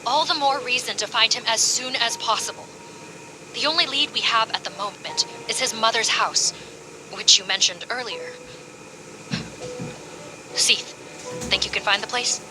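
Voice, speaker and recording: A woman voicing a composed, serious female officer in a video game, speaking in a measured, formal, analytical way. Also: on the high side, natural voice, rough recording. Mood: grave, analytical. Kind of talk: video game character acting. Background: background music.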